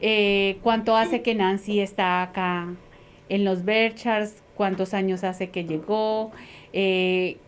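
A woman's voice: medium pitch, a little hiss or hum. A woman hosting a talk show, introducing her guest with enthusiastic, repetitive praise and filler sounds. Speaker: radio show host. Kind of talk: interview introduction.

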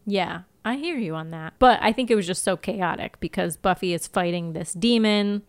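A woman's voice: mid-range voice, normal speed. A woman co-hosting a podcast, recapping TV in a casual, wry, sweary storytelling way. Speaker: podcast co-host. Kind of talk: casual TV episode recap chat.

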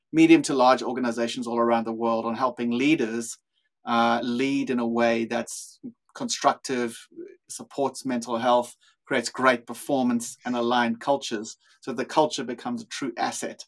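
A man's voice: mid-range voice, level tone, normal speed. A man with a South African accent, a guest in a podcast interview, speaking thoughtfully with frequent small restarts as he explains his views. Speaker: guest, leadership consultant.

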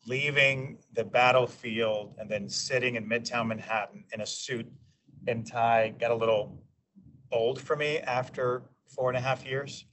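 Man in his forties, measured interview guest, restarts sentences, distant mic, faint noise. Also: medium pitch, a bit bright. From New York, USA. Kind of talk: casual interview.

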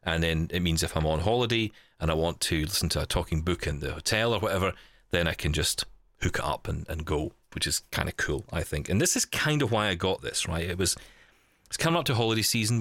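A man's voice: sits low, animated, quick pace, fairly bright tone, no room sound. A man hosting a podcast in casual, chatty style, thinking aloud with wry asides. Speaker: podcast host. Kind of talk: casual chat, live unboxing of a gadget.

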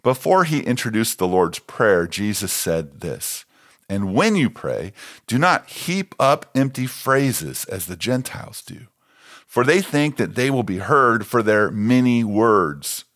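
A man's voice: fairly low pitch, big pitch swings, measured pace, fairly bright tone. An American man, a pastor, reading a scripted devotional.

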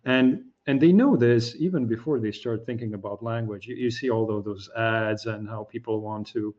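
A man's voice: medium pitch, normal speed, a little mellow, highs cut off. A man from Slovakia, a native Slovak speaker, co-hosting a casual discussion and thinking aloud with frequent restarts. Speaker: podcast co-host.

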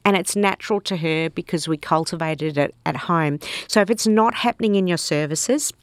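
A middle-aged woman, a worship leader, teaching conversationally and telling lively anecdotes. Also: normal speed, clear, resonant tone, no echo, clean studio sound. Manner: animated storyteller, voices quoted people higher.

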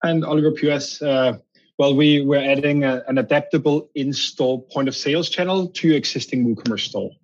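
Husky man with a Danish accent. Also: medium pitch, a little fast, dull audio. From Denmark. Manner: explains at length, often restarting and repeating words. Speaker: guest, company founder. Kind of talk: casual podcast interview.

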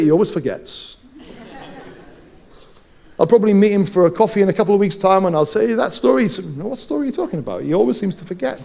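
Welsh pastor guy, highish voice, preaching with stories and little jokes. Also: a little mellow. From Wales. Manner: storytelling, playful asides. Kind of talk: sermon.

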